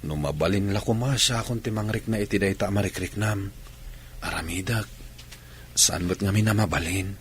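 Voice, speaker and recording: An actor with a Filipino accent playing a patient, caring young husband who coaxes and soothes in a radio drama. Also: male voice, fairly low pitch, normal speed, a little hiss or hum, natural voice. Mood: coaxing, concerned. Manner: coaxing, soothing. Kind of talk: Ilocano radio drama acting.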